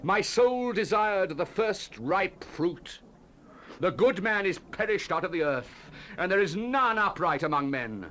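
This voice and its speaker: An actor playing a solemn biblical prophet in a dramatized scripture recording, declaiming judgment and lament. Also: male voice, sits high, expressive, measured pace, sharp tone, exaggerated voice. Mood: stern, denouncing. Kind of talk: dramatized scripture reading, 1970s audio drama. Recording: hissy 1970s cassette tape.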